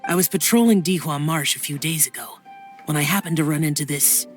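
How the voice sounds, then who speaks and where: A voice actor playing a reserved young male warrior in a game, quiet, hesitant and terse. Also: a little high, brisk, close to an everyday voice. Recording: game cutscene with music behind.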